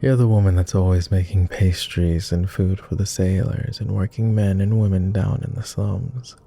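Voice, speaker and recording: A man playing a weary, courteous immortal vampire in intimate ASMR roleplay, speaking softly in formal, hesitant phrases. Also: low-pitched, steady tone, creaky. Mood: puzzled, softening. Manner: formal, measured, hesitant with pauses. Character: weary, reserved, self-loathing male vampire, immortal, courteous.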